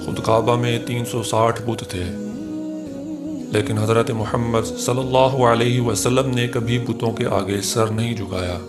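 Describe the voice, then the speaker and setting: A man reading a scripted religious history narration in a formal, reverent manner. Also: mid-range voice. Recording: music behind the voice. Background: music.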